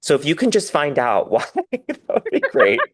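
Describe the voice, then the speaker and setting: A man talking casually and candidly as a podcast guest, animated and rambling, with self-deprecating humor. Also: fairly high pitch, moderate pace. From diaspora Korean, raised in the West.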